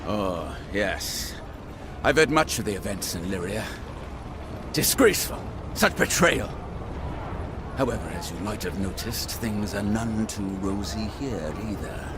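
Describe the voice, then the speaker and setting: A world-weary yet pompous fantasy king in a game, played by a man. His lowish voice leaps up for bombastic, theatrical emphasis and turns wry. There is clear background noise. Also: moderate pace, lightly acted. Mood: theatrical indignation. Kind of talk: dramatic video game acting. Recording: noisy background ambience.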